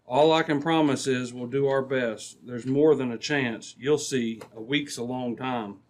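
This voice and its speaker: Casting: male actor as dependable lawman of radio serials, mid-pitched, measured, resolute. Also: steady tone, natural voice. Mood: reassuring, resolute. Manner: measured, reassuring. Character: steady, reassuring, resolute Mountie police sergeant. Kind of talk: old-time radio drama acting.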